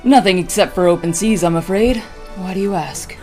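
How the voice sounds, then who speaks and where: A woman's voice: fairly low pitch, brisk, a little mellow, soft background music, lightly acted. Woman playing a steadfast female orc warrior. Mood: formal, earnest. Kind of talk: fantasy audio drama acting.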